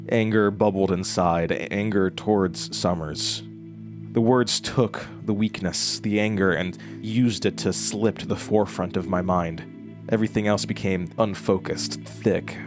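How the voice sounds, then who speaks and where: A brooding, haunted man tells his story in a horror audio drama. His voice sits deep and moves very slowly, halting and deliberate, with faint music behind it. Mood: heavy, brooding. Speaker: narrator and main character. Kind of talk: audio drama narration and dialogue.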